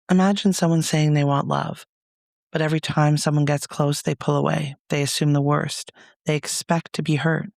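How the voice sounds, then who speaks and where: Guy doing guided meditation, soothing and measured, pretty flat intonation, bit of vocal fry. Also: a little high, no echo, studio quality. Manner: soothing, measured, gentle delivery with pauses. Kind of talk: guided meditation, reflective spoken monologue.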